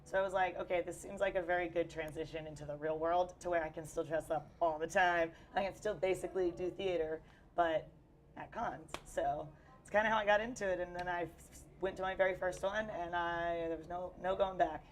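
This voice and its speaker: A woman's voice: on the low side, steady tone, brisk, slight background noise. A young woman with a Texan accent, chatting casually as an interview guest while losing her voice, upbeat and full of fillers. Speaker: cosplayer guest. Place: busy convention hall.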